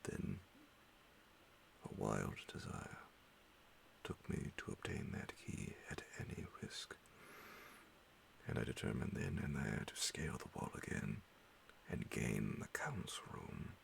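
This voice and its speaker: A man reading a horror bedtime story in ASMR style, hushed and half-whispered, breathy and gravelly, with dramatic pauses. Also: a bass voice, sing-song, a little hiss or hum. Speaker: audiobook narrator.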